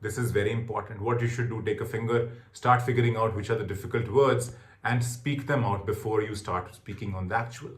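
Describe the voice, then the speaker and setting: A man's voice: lowish voice, steady tone, brisk, reverberant. A man with an Indian accent teaching exam tips as a trainer, emphatic and repeating his key points. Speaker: test-preparation trainer. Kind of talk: instructional lecture on exam tips.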